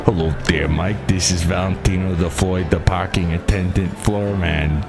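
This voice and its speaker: A man voicing a sheepish male parking attendant in a gravelly, slow low drawl. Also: steady tone, over loud music.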